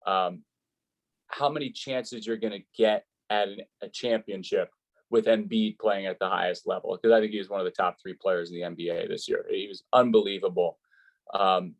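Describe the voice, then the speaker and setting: Low-voiced man, articulate interview guest. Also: poor audio. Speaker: sports play-by-play announcer, interview guest. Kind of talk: conversational interview answers.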